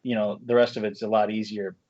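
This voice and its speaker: A man speaking casually as an interview guest, a screenwriter and director, in a halting, thoughtful way that circles back through rephrasings. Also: on the low side, flat delivery, a little mellow, dry recording. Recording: dull, muffled lo-fi audio.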